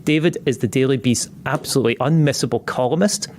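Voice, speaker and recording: A man's voice: medium pitch, fast talker, darkish tone, slight background noise. A man with a Scottish accent hosting a podcast interview, chatty and joking, with some repeated false starts. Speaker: podcast host.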